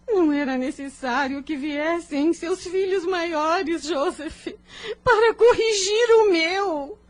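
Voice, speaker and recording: A woman's voice: very high voice, slow. A woman playing a protective, aggrieved mother in a religious radio drama, with formal, emotional speech. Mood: tearful, indignant.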